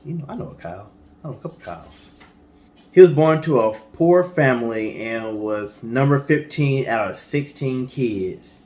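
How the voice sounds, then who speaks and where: A man's voice: mid-range voice, expressive, moderate pace, darkish tone. A man co-hosting a storytime show, telling a story in an informal, colloquial way with casual asides. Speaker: co-host of a storytime guessing-game show. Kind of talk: storytelling from notes with casual banter.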